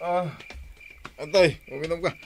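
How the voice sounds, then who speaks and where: A man's voice: a little high, fairly even intonation, normal speed, slight background noise, natural voice. An actor playing a sleepy, dismissive husband who grumbles that he wants to sleep, in a radio drama. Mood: concerned, groggy.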